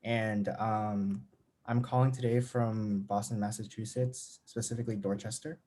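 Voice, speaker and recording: A man's voice: fairly low pitch, steady tone, moderate pace, warm tone. A young man in his late teens whose first language is Cantonese, speaking earnestly as a student panelist on a webinar video call, with many restarts.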